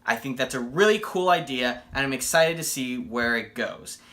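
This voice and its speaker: Male comic book reviewer, mid-pitched and forceful, casual and enthusiastic delivery with emphatic phrasing. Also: animated, moderate pace.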